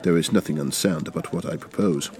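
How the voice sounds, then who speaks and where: A menacing revolutionary leader, played by a man reading a story speaks in a deep voice. His delivery is accusing and indignant, swinging widely in pitch as he presses his point. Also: very animated, fairly quick, creaky, darkish tone, full character voice. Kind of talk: dramatic short story reading.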